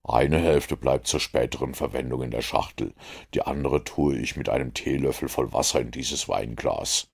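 A man voicing a keen, self-assured detective who explains his conclusions in a measured, commanding way. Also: a bass voice, creaky, breathy edge, pitched below the performer's own voice, exaggerated voice. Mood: patient.

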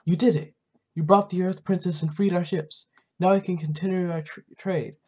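An earnest game-world merchant acted out by a gamer, concerned and emphatic. The voice is husky and projected, declaiming each line. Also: mid-range voice, fairly even intonation, normal speed, warm tone, voice pushed up, close to an everyday voice. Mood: pleased.

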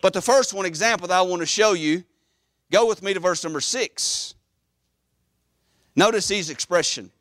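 A middle-aged man preaching an emphatic, animated church sermon, repeating phrases and pausing for weight. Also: high-pitched, no echo, studio quality. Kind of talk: Baptist sermon. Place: church sanctuary, preaching from the platform.

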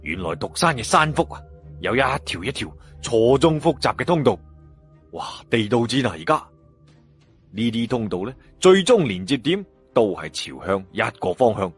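A man's voice: medium pitch, sing-song, moderate pace, slightly rough. A man narrating a story dramatically, with colloquial playful asides and exclamations, as an audiobook storyteller. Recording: background music behind narration.

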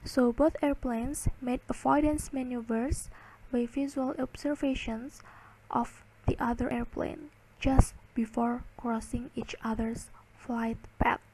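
A woman giving a slide lecture, reading and explaining in halting, word-by-word phrases. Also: a little high, fairly even intonation, darkish tone, breathy edge, low-quality recording.

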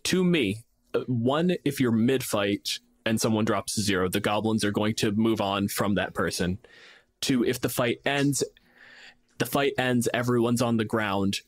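A man's voice: lowish voice, unhurried. A man co-hosting a casual gaming podcast as a game master, explaining his reasoning with acted-out examples. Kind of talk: casual tabletop gaming discussion.

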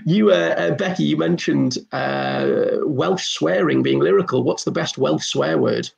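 A man hosting a casual comedy chat over a video call, wry and quick with quips, recorded at home. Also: fairly high pitch, vocal fry, slightly rough. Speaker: host.

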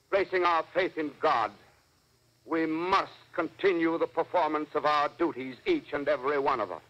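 An actor playing a grave government secretary giving a measured, solemn radio address to the nation in 1930s style. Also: male voice, on the high side, a bit bright. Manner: solemn, measured oratory with deliberate pauses. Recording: dull vintage 1938 radio recording.

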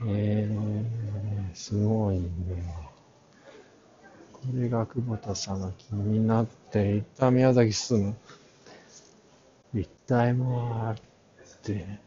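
A man's voice: fairly low pitch, flat delivery, extremely slow, long pauses, dark tone, slight background noise. A man narrating a casual walk-through, muttering short fragments half to himself through a face mask. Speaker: vlog host touring an art fair. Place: large crowded indoor exhibition hall. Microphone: handheld camera at arm's length. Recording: dull sound in a busy hall. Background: crowd murmur.

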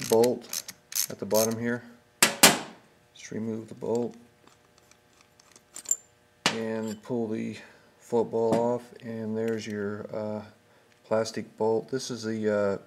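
A man narrating an unscripted repair tutorial as an instructor, speaking in a halting, matter-of-fact way. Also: lowish voice, a little hiss or hum. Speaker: small engine repair instructor.

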